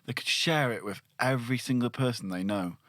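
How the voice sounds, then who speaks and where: A woman podcast editor answering in short, deadpan promo banter. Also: medium pitch, fairly quick, no room sound, studio quality.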